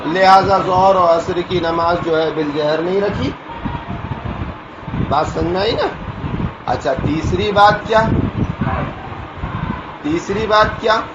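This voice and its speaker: A man's voice: high-pitched, slow pace, long pauses. A man preaching as an Islamic cleric, explaining a ruling informally and often checking that listeners understand. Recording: noisy, echoey, muffled recording with traffic.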